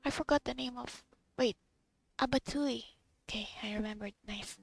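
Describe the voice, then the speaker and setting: A woman's voice: a little high, moderate pace, vocal fry. A woman casually commenting on a game and reading bits aloud, rambling to herself. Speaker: gamer recording a playthrough. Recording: game music behind the voice. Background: video game music.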